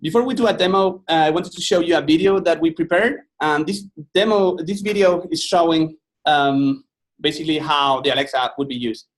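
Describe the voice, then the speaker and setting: A man with a Spanish accent presenting a software demo on a web call, relaxed, with many fillers and restarts. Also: on the high side, brisk, rough recording. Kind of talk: webinar product demo.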